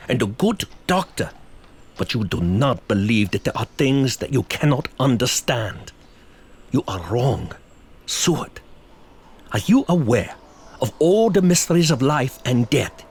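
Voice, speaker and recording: An actor playing a learned older professor and vampire hunter in a gothic audio drama, grave, probing and deliberate. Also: male voice, mid-range voice, lively intonation, slightly rough. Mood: insistent, probing. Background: music.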